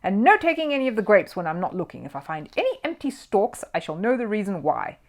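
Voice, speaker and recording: A woman's voice: medium pitch, big pitch swings, fast, pitched above the performer's own voice. A woman voicing a grumpy, self-pitying male bully in a children's storybook, blustering and complaining. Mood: growling, bossy. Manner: growling, groaning, blustering.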